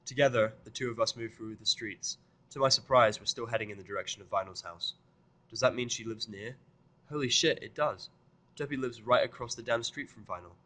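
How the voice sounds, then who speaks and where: A man reading a first-person fan fiction story aloud with an expressive, sardonic delivery. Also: medium pitch, fairly quick. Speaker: fan fiction reader and narrator.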